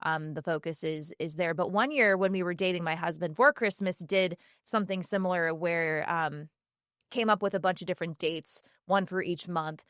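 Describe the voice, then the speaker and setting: A woman's voice: on the low side, normal speed, a bit bright, no room sound, clean studio sound. A woman chatting casually as a panel member on a TV morning show. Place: TV studio.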